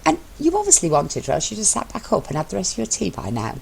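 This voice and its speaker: A woman's voice: mid-range voice, huge pitch swings, fast talker, creaky, a little mellow, a little hiss or hum, voice pushed up, exaggerated voice. A woman voicing a cheeky, stubborn, submissive husband in a story reading, reciting things in a pedantic, formal manner. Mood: pedantic trolling.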